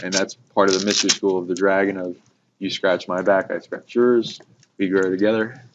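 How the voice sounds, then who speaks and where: Low voiced guy rambling casually, stops and starts, swears a bit, muffled audio w some clicky noise. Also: little pitch movement. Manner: hesitant, halting, casually profane. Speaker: host explaining esoteric ideas. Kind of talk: rambling casual spiritual talk. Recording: muffled, noisy hobby recording with clicks.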